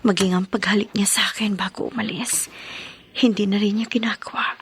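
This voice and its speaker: An actress playing a hurt, suspicious young wife in a Filipino drama, with a breathy voice and Filipino accent. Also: female voice, mid-range voice, fairly even intonation, fairly quick, a bit bright, a little hiss or hum, lightly acted. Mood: sorrowful, whispering. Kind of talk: Filipino radio drama acting.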